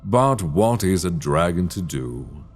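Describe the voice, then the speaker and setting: A man's voice: low voice, lively intonation, husky, soft background music, full character voice. A man playing an imprisoned mad dragon king, seductive, obsessive and menacing, in an intimate, teasing, theatrical ASMR roleplay delivered close to the mic. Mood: teasing, self-mocking. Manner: theatrical, teasing, drawn-out delivery with soft asides. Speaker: audio roleplay voice actor. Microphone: close binaural microphone.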